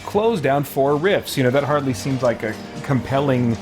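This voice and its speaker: A man's voice: mid-range voice, lively intonation, normal speed. A man giving casual let's play commentary as a streamer, rambling as he thinks aloud. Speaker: game streamer. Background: game music.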